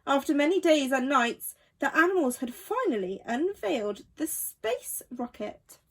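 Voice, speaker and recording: A woman storyteller reads a bedtime tale for children. Her voice is high and highly animated, with an unhurried pace. She leans on key words and pauses for drama.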